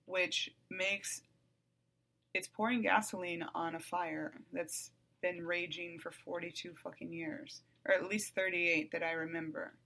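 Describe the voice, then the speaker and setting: A woman in her forties hosting a solo podcast, talking in a calm, even way that turns blunt and profane. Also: fairly low pitch, unhurried, creaky. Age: 40s. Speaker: podcast host.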